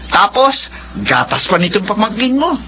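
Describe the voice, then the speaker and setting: A fretful older mother in a radio drama. She scolds and exclaims in a bright, forceful voice, with a faint hum behind. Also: mid-range voice, big pitch swings, normal speed. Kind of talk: Filipino radio drama acting.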